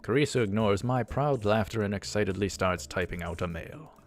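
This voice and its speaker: Male let's play host, low register, halting read-aloud narration with faint music underneath. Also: expressive. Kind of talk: reading a visual novel aloud. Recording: music behind the voice.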